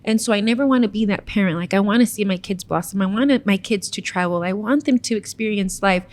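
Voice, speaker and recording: A woman's voice: mid-range voice, fast talker. A young woman who is a mother, talking earnestly about motherhood and faith in a casual podcast chat. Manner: earnest, heartfelt, quotes herself often. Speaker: podcast co-host.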